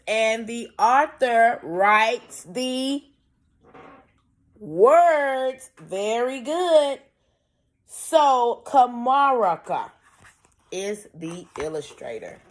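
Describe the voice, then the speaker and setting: Woman, primary teacher, lively swooping intonation, read-aloud lesson, instructive, question prompts. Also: on the high side. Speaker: teacher reading aloud to young children.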